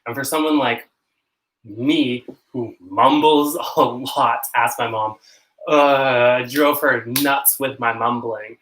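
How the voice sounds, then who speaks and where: A young North American man with a North American accent, chatting casually to camera on a live stream, rambling and self-conscious, with quick laughs. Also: mid-range voice, fairly even intonation, a bit bright, a little hiss or hum, low-quality recording. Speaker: channel host.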